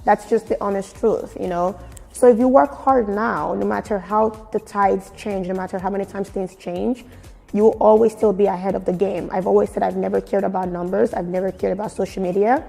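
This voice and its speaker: A woman from Nigeria with a Nigerian accent mixed with British and American, giving expressive, emphatic answers in a casual interview. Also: medium pitch, brisk, creaky. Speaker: fashion blogger being interviewed. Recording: very echoey room with music. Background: music.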